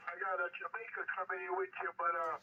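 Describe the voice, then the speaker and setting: A man's voice: sits high, flat delivery, fast talker, a loud crowd. A man chatting casually as an operator over a CB radio transmission. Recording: muffled, very noisy CB radio signal.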